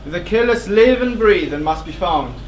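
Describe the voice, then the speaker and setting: A man acting a grim, fervent courtier in a stage tragedy, declaiming vividly with deliberate dramatic pauses. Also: high voice, lively intonation. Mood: grim, urgent. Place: theatre stage. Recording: distant, echoey theatre stage, background noise.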